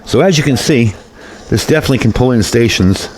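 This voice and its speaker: A man's voice: mid-range voice, fast, crowd noise, rough recording. A man giving a casual hands-on product demonstration in a folksy, conversational way, often repeating himself. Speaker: radio product reviewer.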